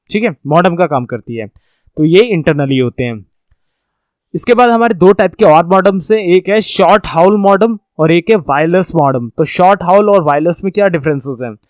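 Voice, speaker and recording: A man's voice: high voice, darkish tone, no room sound, studio quality. A man giving an informal explanatory lecture as a course instructor, repeating and rephrasing points and checking that listeners follow.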